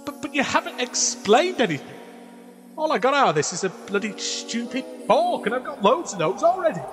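An actor playing a prickly, haunted old miser uncle in an audio drama. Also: male voice, very high-pitched, big pitch swings, brisk, a bit bright, faint music behind, exaggerated voice. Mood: bewildered, testy. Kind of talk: dramatic audio theatre acting. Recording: reverberant hall, faint ambience.

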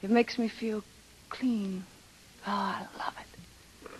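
A woman's voice: medium pitch, fairly even intonation, slow pace, natural voice. Tough, weary young woman, played by an actress: slangy, blunt, vintage radio drama with faint noise. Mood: dreamy, wistful. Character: tough, world-weary young woman, sailor's estranged daughter. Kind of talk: 1930s radio drama acting. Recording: noisy old 1930s radio recording.

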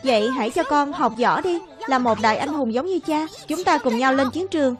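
A restless young boy hero from a cartoon dub, voiced by a woman. The voice is very high and childlike, eager and boastful, over clear background music. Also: lively intonation, fairly quick.

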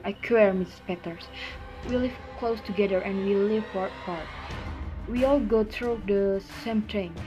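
A regretful, resentful farm wife, acted by a young woman in a student play. Her voice sits at an average pitch, and music plays clearly behind her. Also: natural voice. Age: young adult. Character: grieving, resentful farm wife, middle-aged. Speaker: amateur student actor. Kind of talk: amateur stage drama acting.

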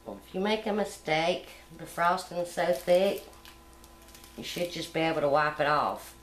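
A middle-aged woman hosting a cooking channel, explaining step by step how to decorate a sugar egg at home in a relaxed, homey way. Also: fairly low pitch, unhurried, echoey room, a little hiss or hum. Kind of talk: hands-on decorating tutorial.

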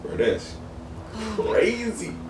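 A man reacting casually to a video game, with excited exclamations and laughing asides. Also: high-pitched, very slow, gravelly, reverberant, far from the mic, noisy background.

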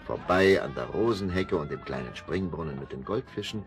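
A man's voice: rumbling low, animated, fairly quick. A man narrating a children's audio drama in a cheerful storytelling manner, recorded from vinyl with music behind.

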